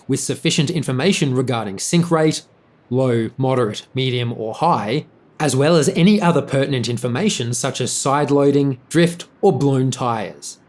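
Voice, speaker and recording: A male narrator reads a scripted documentary piece at a medium pitch. His delivery is measured and factual.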